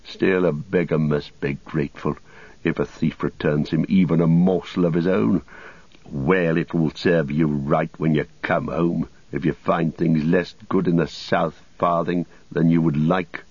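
Guy doing sneering old fallen wizard for an audiobook, cracked deep voice, kinda muffled and echoey. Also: fairly even intonation, full character voice. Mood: sneering mockery. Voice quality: cracked, husky. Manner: mocking, drawn-out archaic phrasing.

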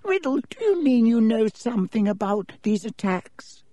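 A man voicing a frail, feeble old male wizard headmaster who talks slowly and kindly in a thin, quavering voice. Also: extremely high pitch, sing-song, mellow, dry recording, pitched above the performer's own voice. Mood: startled. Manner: slow, fussy and kindly. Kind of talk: audiobook character voice.

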